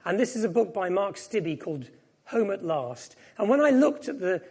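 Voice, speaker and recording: A middle-aged man speaks from the pulpit in a reflective, self-deprecating way, sharing his own story. His voice sits high and carries a husky edge. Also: big pitch swings, moderate pace, darkish tone. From Japan. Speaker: preacher. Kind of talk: personal sermon.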